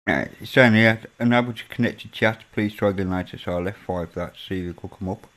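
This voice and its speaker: A man's voice: lowish voice, quick pace, fairly bright tone. Chatty Brummie man hosting a stream. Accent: Birmingham. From England. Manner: chatty, stumbles and repeats words. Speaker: stream host. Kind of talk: casual chat on a live stream.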